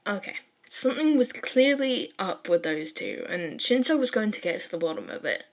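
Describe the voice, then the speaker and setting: A woman reading fan fiction aloud as an expressive amateur narrator, with casual swearing. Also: fairly high pitch.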